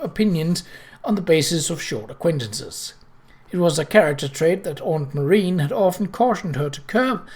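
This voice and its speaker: A narrator reading a period novel aloud in an expressive way, with occasional stumbles. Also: medium pitch, breathy edge. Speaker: audiobook reader.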